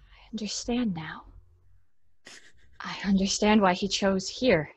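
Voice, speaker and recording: A young woman playing a bitter, greedy daughter in a horror audio drama, halting and intense. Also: mid-range voice, slightly rough, no room sound. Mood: hushed, chilling. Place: small room at home, on video call.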